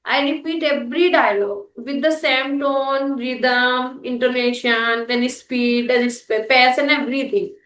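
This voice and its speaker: A woman's voice: high voice, fairly even intonation, unhurried, few pauses, fairly bright tone, echoey room, recorded from a distance, rough recording. A young woman with an Indian accent chatting casually in English, hesitant with many fillers and restarts. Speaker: host, English learner. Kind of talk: casual practice conversation.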